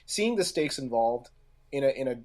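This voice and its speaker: A man co-hosting a casual podcast discussion, speaking haltingly and often pausing in the middle of a phrase. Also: mid-range voice, animated, darkish tone. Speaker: podcast co-host.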